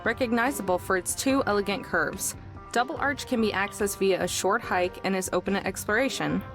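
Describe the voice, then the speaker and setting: A woman's voice: mid-range voice, brisk, close to an everyday voice. A woman playing a laid-back, dry young woman traveler in a visual novel. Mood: reading aloud. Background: music.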